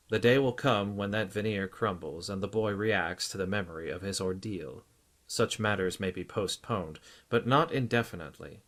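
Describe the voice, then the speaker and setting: A man voicing a stout psychiatrist in an audiobook, grave and solicitous, with an earnest, deliberate, clinical manner. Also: low-pitched, lightly acted. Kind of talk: audiobook character acting.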